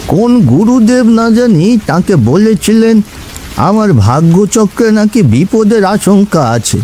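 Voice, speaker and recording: A man's voice: on the high side, noisy background. A man voicing an old village grandfather telling his ghostly tale in a dramatic, folksy horror audio story. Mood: grave recounting.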